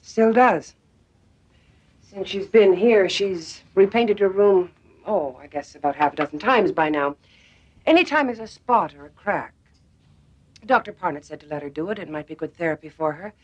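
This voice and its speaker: An actress playing an experienced, composed female psychiatric nurse in a television drama, speaking in a measured, matter-of-fact way. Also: fairly low pitch, expressive, highs cut off. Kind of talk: naturalistic television drama dialogue.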